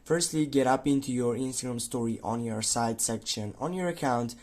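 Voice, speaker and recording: A man narrating an improvised how-to tutorial, speaking haltingly with pauses in the middle of his sentences. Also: mid-range voice, darkish tone. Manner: halting, pausing mid-sentence. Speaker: tutorial host.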